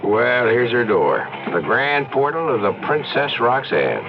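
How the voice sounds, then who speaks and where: An actor in an old-time radio drama playing a scruffy, wisecracking bartender, glib and wry with mock-grand turns of phrase. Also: male voice, medium pitch, very animated, a little slow. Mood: glib, easygoing. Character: wisecracking, scruffy young male bartender with a protective streak. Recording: dull radio recording with music behind.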